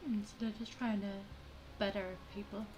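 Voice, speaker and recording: A woman's voice: mid-range voice, level tone, normal speed, loud music behind the voice, poor audio. A woman giving casual, chatty gameplay commentary, reacting in short asides. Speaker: game streamer.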